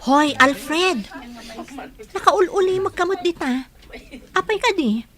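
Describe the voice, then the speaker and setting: A woman with a Filipino accent playing an expressive, concerned young woman in a radio drama, animated and questioning. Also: very high-pitched, slow, exaggerated voice. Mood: annoyed. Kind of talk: Ilocano radio drama acting.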